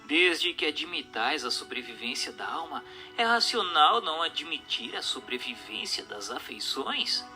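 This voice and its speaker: A man delivers dramatic scripted narration in a fairly high, very sharp and forceful voice. His phrasing is formal and rhetorical, with lively rises and falls in pitch, like a declaimed argument. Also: measured pace, few pauses, soft background music. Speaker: narrator of an audiobook radio drama.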